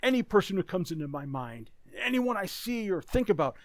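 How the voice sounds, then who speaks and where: An actor playing an anxious, tormented businessman in a radio thriller, hesitant and halting but emphatic. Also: sits high, very animated, dry recording, studio quality. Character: anxious, tormented businessman confessing dark urges. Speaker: community theater actor. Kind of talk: old-time radio thriller acting.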